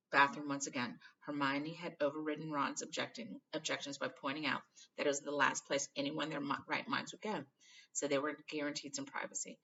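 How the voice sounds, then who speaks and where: Woman reading a kids book aloud, lowish bright voice w lots of fry. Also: lo-fi sound, a little hiss or hum. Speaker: storybook reader. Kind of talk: expressive scripted narration of a children's novel.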